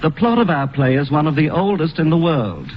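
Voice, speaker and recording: Formal middle-aged male presenter, fairly high voice, dull vintage radio with faint noise. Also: very animated, brisk. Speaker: theatrical producer introducing the play. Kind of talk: scripted 1940s radio introduction.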